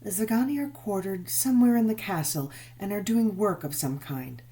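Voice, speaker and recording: A woman reading a gothic novel as dramatic narration, measured and expressive, with drawn-out emphasis. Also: mid-range voice. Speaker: audiobook narrator.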